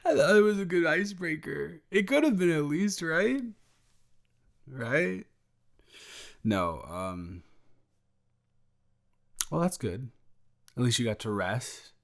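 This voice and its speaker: A man playing a sheepish, evasive young male roommate in an improvised audio roleplay, halting and rambling as he talks. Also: mid-range voice. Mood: awkwardly joking. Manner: halting, rambling, trailing off into restarts.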